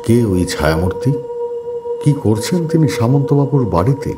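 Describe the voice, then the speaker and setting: A man's voice: mid-range voice, big pitch swings, normal speed, loud music behind the voice. A man delivering a dramatic horror trailer narration to introduce an episode.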